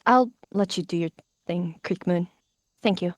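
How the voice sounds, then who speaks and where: A woman's voice: fairly low pitch, unhurried, no room sound, studio quality, natural voice. A woman voicing a dutiful, slightly awkward young she-cat in a roleplay, polite and hesitant.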